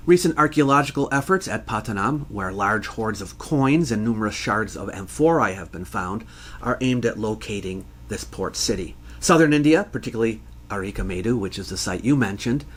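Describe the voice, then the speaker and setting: A man hosting an educational program, reading scripted narration in a measured, scholarly way. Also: mid-range voice. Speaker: history educator and host.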